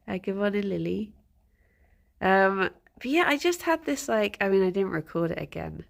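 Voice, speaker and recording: A woman's voice: medium pitch, gravelly. A woman with a blocked, congested nose, streaming from home, chatting casually and greeting her viewers by name. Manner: chatty, greets viewers by name, giggly, soft baby talk to pets. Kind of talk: casual morning stream chat.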